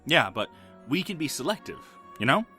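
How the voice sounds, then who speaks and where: A man's voice: a little high, very animated, moderate pace, sharp tone, soft background music. A man playing a polite, awkward human who stammers hesitantly in a fan fiction audio drama. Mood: flustered, polite.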